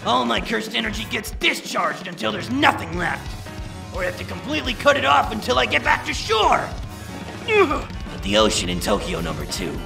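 A man playing a proud, battle-hungry sorcerer in an anime dub style, intense and disdainful, with a gravelly, creaky voice. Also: high-pitched, full character voice. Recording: dramatic music behind, somewhat distant voice.

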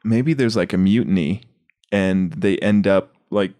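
A man casually brainstorming as a sketch comedy podcaster, halting as he thinks aloud. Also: low voice, warm tone.

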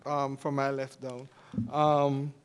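A man moderating a panel, introducing it informally in halting phrases. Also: medium pitch, monotone, slight background noise. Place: theater stage, indoors.

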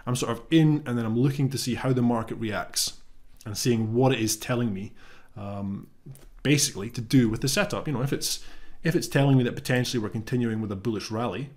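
A man's voice: medium pitch, brisk. Man with a slight cold. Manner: relaxed, thinking aloud with frequent ums. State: slight cold, losing his voice. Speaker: trading educator. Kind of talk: casual explanatory live-trade walkthrough. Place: home office, indoors.

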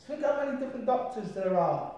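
A man's voice: very high voice, expressive, fast, dark tone. A middle-aged man, a martial artist giving an interview. He talks earnestly and circles back to his points, often checking in with "right?" and "you understand me?". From Britain. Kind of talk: reflective conversational storytelling. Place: small room, sitting on a sofa. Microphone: distant camera mic. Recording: very echoey room, mic far off.

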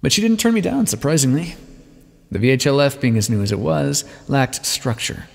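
A man playing a bitter, wry vampire and former rebel leader, confiding his past in an ASMR roleplay with a gravelly, husky voice. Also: mid-range voice, lively intonation, normal speed. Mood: wry, measured. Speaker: audio roleplay performer. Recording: long reverberant echo.

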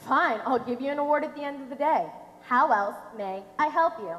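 A woman's voice: sits high, lively intonation, fairly bright tone, highs cut off. A young woman playing a smug, pedantic, obstructive government clerk in a comic stage play. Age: teenager or young adult. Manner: pedantic, drawn-out deliberate delivery. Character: smug, officious, obstructive female DMV clerk. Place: theatre stage, recorded from a distance. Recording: echoey hall, noisy stage recording.

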